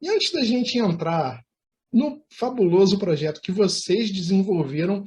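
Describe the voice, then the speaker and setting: Male host, Brazilian accent, high register, enthusiastic, flowery presenting style. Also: animated, unhurried, darkish tone. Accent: Brazilian Portuguese. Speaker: interview host, anchor. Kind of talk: effusive, formal hosting talk.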